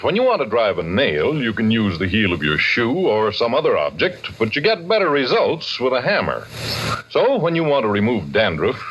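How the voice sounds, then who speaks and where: A man's voice: mid-range voice, a bit bright, breathy edge. A man with an American accent reading a 1940s radio advertisement as announcer, with an emphatic sales delivery. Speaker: commercial announcer. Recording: muffled 1940s radio recording.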